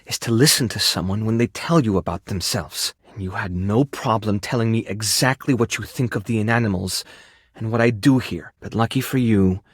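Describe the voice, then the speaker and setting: An earnest, awkward animal rescuer, animated. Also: male voice, a little high, no echo, studio quality. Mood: firm, forgiving. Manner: rambling, halting, sincere. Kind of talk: video game character voice acting, dating simulator.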